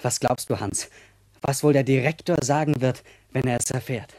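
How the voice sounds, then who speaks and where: An actor playing a small, proud schoolboy prankster in a German radio play, eager and boastful. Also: male voice, medium pitch, fairly even intonation, moderate pace, a little mellow, dry recording, lightly acted. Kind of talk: German radio drama acting.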